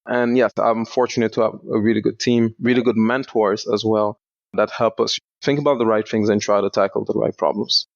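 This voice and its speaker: Young guy from senegal explaining his startup, steady calm talk, kinda dull lo-fi dry audio. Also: fairly low pitch, gravelly, no room sound. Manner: measured, explanatory. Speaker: startup founder, guest. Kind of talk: podcast interview answers.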